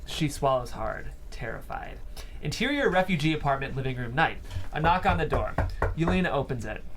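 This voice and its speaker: A man's voice: medium pitch, very animated, fairly quick, a bit bright, a little hiss or hum. A man reading stage directions as scripted narration at a screenplay table read.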